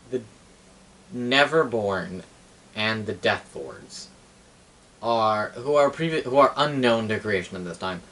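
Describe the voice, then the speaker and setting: A young man casually explaining things like a storyteller, halting and correcting himself as he goes, picked up by a camera across a room. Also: on the low side, bright, forceful tone, low-quality recording. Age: young adult. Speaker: storyteller and game master. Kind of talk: casual rambling explanation of fantasy game lore. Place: small cluttered living room, indoors.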